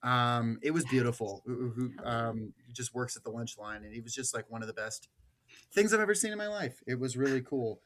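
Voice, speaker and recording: Male podcast host, average pitch, loose slangy delivery for informal political chat and stories. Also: brisk, a bit bright, slight background noise. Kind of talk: casual political podcast talk with anecdotes.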